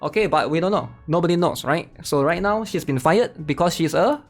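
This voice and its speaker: A man's voice: fairly high pitch, expressive, a little fast, faint music behind. A man hosting a gaming channel, casually commenting while reading online posts aloud and often tagging sentences with "right?". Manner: chatty, tags sentences with "right?".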